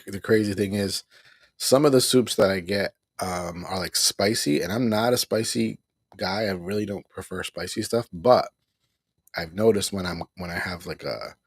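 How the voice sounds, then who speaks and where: A man's voice: sits low, husky, no echo, clean studio sound. A middle-aged man hosting a casual home livestream, rambling and repeating himself with small chuckles. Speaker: livestream host. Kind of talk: casual live broadcast chat.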